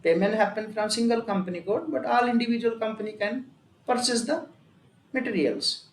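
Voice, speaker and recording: An instructor with an Indian accent gives a software tutorial in a repetitive, step-by-step way. The voice sits at a middling pitch. The recording is echoey, with faint noise behind it. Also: a little fast. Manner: repetitive, emphatic step-by-step explaining with frequent check words. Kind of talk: software and accounting tutorial, explaining a worked example.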